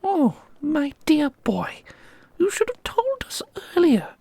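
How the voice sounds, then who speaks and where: A woman playing an ancient custodian and combat mentor in an ASMR roleplay, doting and sharply sarcastic, with a husky, creaky voice. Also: high-pitched, wildly swooping pitch, takes it slowly, mellow, no echo, full character voice. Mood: doting, instructive. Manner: theatrical, teasing, instructive. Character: millennia-old custodian mentor, doting on pupil, witheringly sarcastic.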